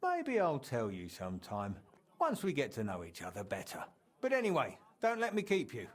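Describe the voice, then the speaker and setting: A man's voice: mid-range voice, huge pitch swings, moderate pace. An actor playing a jovial, roguish middle-aged tradesman in a video game, colloquial and teasing. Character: roguish, jovial aging tradesman reminiscing about wild youth. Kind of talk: video game dialogue acting.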